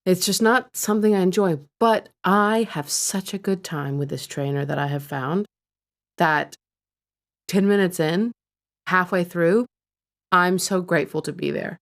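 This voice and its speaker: A woman's voice: on the low side, measured pace. A woman co-hosting a podcast, chatting candidly and with a self-deprecating edge. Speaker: podcast co-host. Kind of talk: casual chat.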